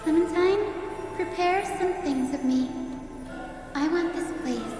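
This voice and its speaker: A woman playing a gentle, kindly young healer in a fantasy video game, soothing and polite. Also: very high-pitched, takes it slowly. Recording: heavily echoing, long reverb. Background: loud music.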